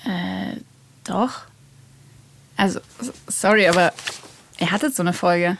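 A woman's voice: on the low side, slow, a little hiss or hum. A woman playing an eager young fan interviewing someone in a fiction podcast, hesitant but persistent and natural in delivery. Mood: awkward, insistent.

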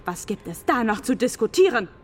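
A woman's voice: fairly high pitch, big pitch swings, brisk, slight background noise. A woman playing a stern, curt female immigration office caseworker in a radio play. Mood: cold, dismissive. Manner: cold, curt, bureaucratic.

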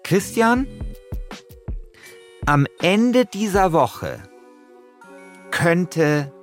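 A man's voice: sits high, animated, very slow. A man co-hosting a podcast, explaining things in a casual, colloquial and rambling way. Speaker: podcast co-host. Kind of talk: casual, unscripted chat and explaining. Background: faint music.

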